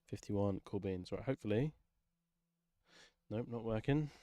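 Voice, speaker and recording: Low-voiced guy chatting on a livestream. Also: a little slow, mellow, dry recording, studio quality. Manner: informal, muttering to himself, sweary. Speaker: livestream host, music maker. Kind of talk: casual livestream chat while working.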